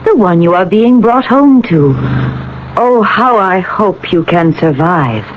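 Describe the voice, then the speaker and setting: Slow-speaking, solemn maiden of Atlantis. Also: female voice, on the low side, expressive. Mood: proud, grave. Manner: formal, stilted, otherworldly phrasing. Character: solemn, mysterious young female half-human from Atlantis. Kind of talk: vintage radio drama acting. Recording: tinny, narrow, hissy old radio recording.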